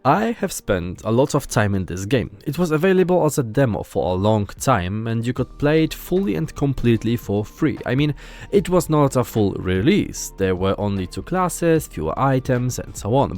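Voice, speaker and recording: A man's voice: medium pitch, big pitch swings, moderate pace, darkish tone, soft background music. A young man from Poland with a Polish accent, earnestly narrating a scripted gaming video as host.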